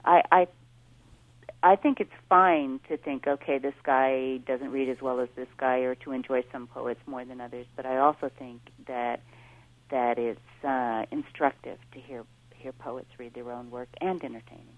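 Brief: female radio guest, deep voice, eager and reflective, dry narrow phone-like sound. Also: fairly even intonation, moderate pace, no room sound. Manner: enthusiastic, thoughtful, with restarts. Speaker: studio guest. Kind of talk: radio talk show discussion.

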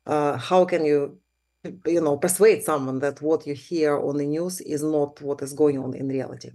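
A woman's voice: low voice, steady tone, moderate pace, warm tone. A middle-aged woman from Ukraine, a native Russian speaker with a Ukrainian accent, speaking earnestly and reflectively as an interview guest. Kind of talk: conversational interview.

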